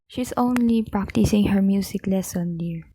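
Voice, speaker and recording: A woman's voice: medium pitch, normal speed, mellow, breathy edge. A woman playing a busy mother, placating but firm, in an amateur radio play.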